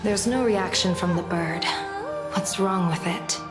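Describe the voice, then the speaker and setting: A woman's voice: lowish voice, a little slow, close to an everyday voice. An actress playing an inquisitive, self-assured female seer in a video game, articulate, formal and probing. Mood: curious. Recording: echoey hall with music behind.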